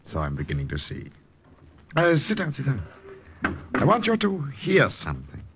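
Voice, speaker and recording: Wildly swooping German commander. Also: male voice, mid-range voice, wildly swooping pitch, moderate pace. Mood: smug, probing. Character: male German military commander, smooth and calculating. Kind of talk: 1940s-50s radio drama acting. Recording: noisy old radio drama recording.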